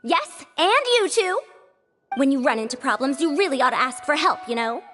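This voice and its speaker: A woman voicing spirited, expressive female characters in a fantasy adventure video game. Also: high-pitched, normal speed, heavily acted. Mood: scolding. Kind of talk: animated video game voice acting. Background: background music.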